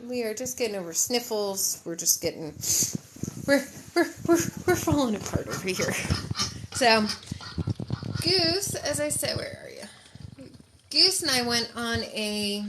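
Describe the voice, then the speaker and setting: A woman's voice: on the high side, animated, measured pace, long pauses, background noise. A woman with a head cold, sniffly, chatting casually and rambling to viewers on a live stream as a podcast host. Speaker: podcast host and mental health mentor.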